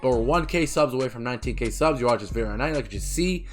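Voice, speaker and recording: A man giving a casual, eager game walkthrough with a hoarse, recovering voice, often dropping in "man" and his personal picks. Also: mid-range voice, expressive, fast talker, faint music behind. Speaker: gaming YouTuber.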